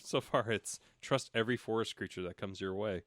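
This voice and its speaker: A man's voice: on the low side, a little fast, fairly bright tone, dry recording. A man reading a storybook aloud as a comic co-host, breaking off for casual, irreverent remarks. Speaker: comic audiobook reader and co-host.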